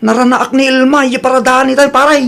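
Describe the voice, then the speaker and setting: A woman's voice: high voice, flat delivery, brisk, vocal fry, fairly bright tone. A woman with a Filipino Ilocano accent playing a frank young friend bearing news in a comedy drama. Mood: earnest.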